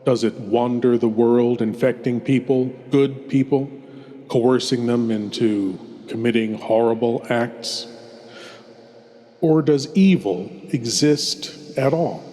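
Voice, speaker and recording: A man's voice rings with long reverb as he hosts a horror show. He delivers his scripted introduction slowly at a medium pitch, theatrical and ominous, pausing deliberately between phrases. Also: dark tone. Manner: dramatic, ominous, deliberate pauses.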